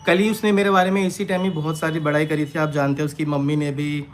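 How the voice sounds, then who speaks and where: A man's voice: a little high, level tone, fast talker. A man speaking unscripted to his followers on a live stream, defensive and emphatic, repeating his points, with some background noise. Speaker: online creator answering accusations. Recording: noisy live-stream audio with background ambience.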